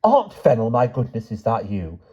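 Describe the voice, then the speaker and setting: A man's voice: mid-range voice, wildly swooping pitch, moderate pace, mellow, breathy edge, voice pushed up. Male narrator as anxious young relative, niece, lifted voice, questioning, urgent, storybook reading, dull audio. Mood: astonished, worried. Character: anxious, caring, inquisitive niece of a frail aunt.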